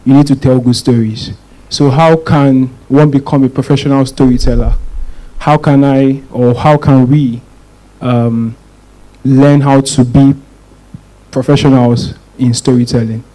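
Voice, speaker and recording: A man's voice: medium pitch, fairly even intonation, a little slow, mellow, rough recording. A Nigerian man in his twenties politely and somewhat hesitantly asking a question from the audience. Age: 20s.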